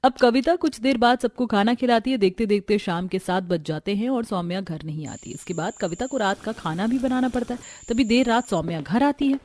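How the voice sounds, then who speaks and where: High-voiced woman narrating a story. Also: a little fast, few pauses, darkish tone. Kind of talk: scripted cartoon story narration. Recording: music behind the voice.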